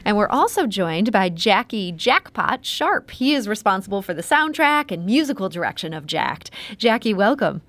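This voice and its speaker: A woman's voice: mid-range voice, very animated, normal speed, few pauses, a bit bright, no echo. A woman hosting a conversational public radio interview, introducing guests and asking questions.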